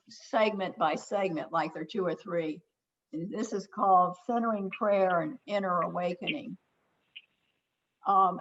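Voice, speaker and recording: A woman at middle pitch leads a group discussion in a friendly way, sometimes pausing to search for words. Her voice comes through a muffled, lo-fi video call line. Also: a little hiss or hum. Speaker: discussion leader. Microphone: computer mic on a video call. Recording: dull lo-fi video call audio.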